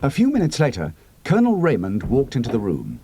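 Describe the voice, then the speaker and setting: A man narrating an adventure audiobook in a measured, expressive storytelling style, close to the mic. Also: mid-range voice, husky. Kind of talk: scripted narration.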